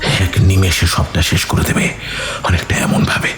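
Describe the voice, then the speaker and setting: A man narrating a scripted horror story in a dramatic, breathy, suspenseful manner. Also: deep voice, level tone, normal speed, fairly bright tone. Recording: music under the voice.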